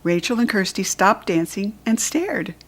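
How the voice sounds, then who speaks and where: A woman reading a children's storybook aloud, clearly and expressively. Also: medium pitch, animated, measured pace. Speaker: storybook reader.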